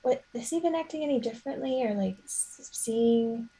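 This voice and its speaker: A woman's voice: on the high side, moderate pace, warm tone, husky, low-quality recording. A woman playing a tabletop game, chatting and improvising casually in a hesitant, apologetic way. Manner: hesitant, apologetic, many trailing restarts. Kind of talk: casual chat and improvised role-play.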